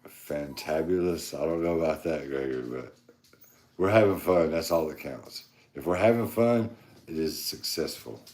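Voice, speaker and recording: A man hosting a podcast, joking around in casual chat with a very deep voice. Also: moderate pace, a little mellow, roomy sound. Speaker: podcast host.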